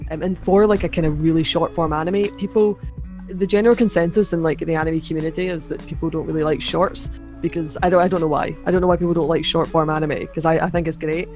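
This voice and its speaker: A young woman with a mid-range Scottish accent, chatting casually as a podcast guest, quick to laugh and restart her sentences. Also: low voice, steady tone, a little mellow, soft background music. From Scotland. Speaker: podcast guest, anime video creator. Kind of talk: casual chat.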